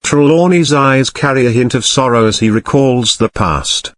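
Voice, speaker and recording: Guy narrator doing audio drama scene descriptions, medium pitch, very dry recording. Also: normal speed, a bit bright, no room sound.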